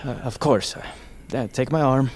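A man playing a gentle, self-effacing young nobleman in a fantasy audio drama, hesitant and politely formal. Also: mid-range voice, normal speed, close to an everyday voice. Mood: polite, deferential.

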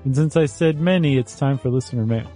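A man's voice: medium pitch, quick pace, music in the background. A man co-hosting a podcast, musing in casual chat and rambling through restarts as he wraps up a topic. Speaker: podcast co-host. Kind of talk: casual chat, wrapping up an episode.

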